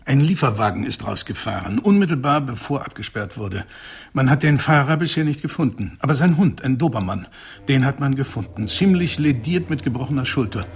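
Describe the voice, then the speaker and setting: An actor playing a matter-of-fact veterinary surgeon in a radio drama, speaking precise in a sober, clinical manner. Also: male voice, medium pitch, expressive, a little fast, natural voice. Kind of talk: German radio drama acting.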